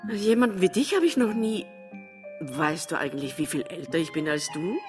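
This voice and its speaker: A woman's voice: on the low side, animated, normal speed, soft background music, lightly acted. An actress playing an earthy, forthright married woman in a radio drama, direct, wry and emotional. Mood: wry, flirtatious. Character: earthy, forthright older wife, a former dancer.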